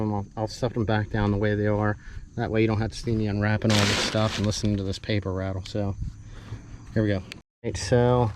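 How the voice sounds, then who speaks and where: A man giving a casual, off-the-cuff unboxing commentary, halting and thinking aloud as he handles parts with a camera in hand. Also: medium pitch. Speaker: hobbyist vlogger doing a product unboxing. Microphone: handheld camera held while working, varying distance. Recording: noisy handheld camera audio, clear background noise.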